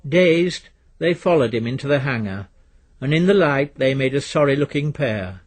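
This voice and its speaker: A man reading a literary story as narrator in a measured, dry storytelling manner. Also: medium pitch, animated. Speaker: narrator, reader.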